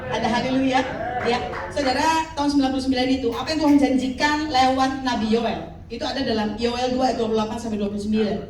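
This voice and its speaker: Female pastor, 50s, high voice, animated call-and-response preaching, distant mic. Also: quick pace. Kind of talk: sermon. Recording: echoey hall, mic from far off.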